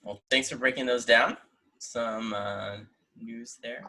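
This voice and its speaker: A man's voice: mid-range voice, steady tone, slow pace, bright, forceful tone. A man in his twenties with an American accent, hosting a casual discussion podcast over a video call. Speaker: host. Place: indoor room at home, video call.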